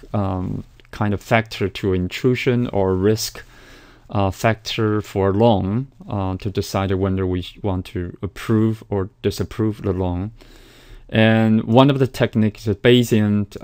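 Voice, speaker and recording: Man, lecturer, low pitch, hesitant with fillers. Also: a little mellow. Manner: hesitant, many fillers and restarts. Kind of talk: recorded slide lecture.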